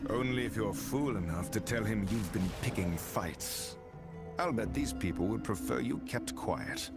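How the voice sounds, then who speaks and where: A man playing an earnest, steady video game adventurer who talks in a calm, measured, firm way. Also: on the low side. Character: earnest, steady male adventurer seeking a one-eyed man. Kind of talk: video game dialogue acting. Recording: loud game music behind.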